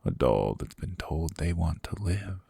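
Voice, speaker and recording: A cold, possessive demon king, voiced by a man, looms over his captive in a slow, condescending drawl, dropping into gravelly whispers right at the ear. His voice sits extremely low and very husky, with a rough fry on the ends of phrases. The close ASMR recording is dry, with no room around it. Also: big pitch swings, mellow, no echo, full character voice. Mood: mocking. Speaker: voice actor. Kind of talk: ASMR roleplay audio.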